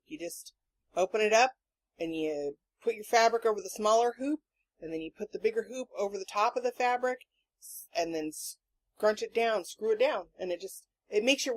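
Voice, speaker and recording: A woman hosting a podcast in casual chat, telling rambling stories in an animated, sweary way. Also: medium pitch, a little fast, rough recording. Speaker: podcast host.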